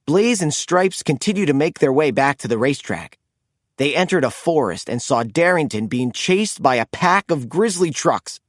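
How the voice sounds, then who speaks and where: A man narrating a children's storybook in an expressive, animated read-aloud style. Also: fairly high pitch, normal speed, no echo, clean studio sound.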